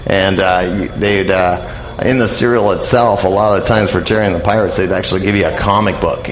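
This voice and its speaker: A man being interviewed on the radio, explaining and reminiscing informally in a halting, anecdotal way. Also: sits low, steady tone, slight background noise. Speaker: radio interview guest on old-time radio history. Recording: dull lo-fi radio, roomy, crowd behind.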